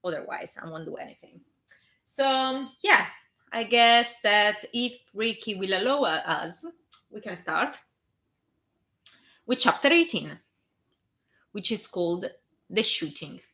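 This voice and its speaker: A woman with a strong Italian accent reads a story aloud on a live stream, and she often breaks off for chatty asides. Her voice is bright and animated, and it rises and falls a great deal. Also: mid-range voice, slow pace, pauses often. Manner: lively, chatty, self-conscious asides. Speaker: fan fiction reader and live streamer.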